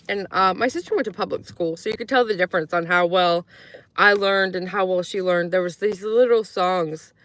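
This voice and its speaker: A woman's voice: lowish voice, a bit bright, dry recording. A woman from Croatia chatting casually to a live audience, rambling with many fillers and acting out quoted voices in her stories. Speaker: live streamer. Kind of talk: casual storytelling to a live chat.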